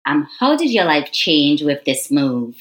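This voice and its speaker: Low-voiced female host, friendly prompting questions, recorded in a reverberant room. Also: big pitch swings, a little slow. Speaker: interviewer. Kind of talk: interview questions. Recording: echoey room.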